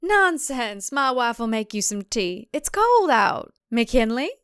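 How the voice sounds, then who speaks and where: A woman's voice: fairly high pitch, very animated, slow pace, a bit bright, clear, resonant tone, no room sound, studio quality, heavily acted. A woman playing a controlling, falsely genial farm husband in an audio story, hearty and domineering like a salesman.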